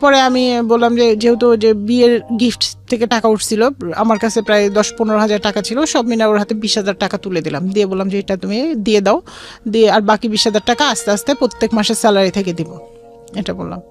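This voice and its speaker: A woman's voice: mid-range voice, steady tone, brisk. A woman with a Bangladeshi accent tells a personal story in an interview, acting out both sides of remembered conversations. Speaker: guest telling life story. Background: background music.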